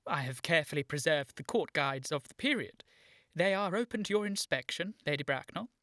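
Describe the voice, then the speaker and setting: Stiff, earnest English gentleman, upper-class, bright forceful voice, clipped and formal, radio comedy. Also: on the high side, lively intonation, brisk, dry recording, clean studio sound. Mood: stiffly formal. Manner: formal, precise, clipped politeness. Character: earnest, indignant young English gentleman guardian. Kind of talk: radio play comedy of manners.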